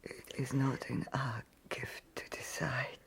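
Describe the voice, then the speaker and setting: A woman playing a gentle dying mother in an audiobook drama, weak, breathy, tender and resigned. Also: a bass voice, level tone, takes it slowly, in a whisper, heavily acted. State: weak, breathy, failing on her deathbed.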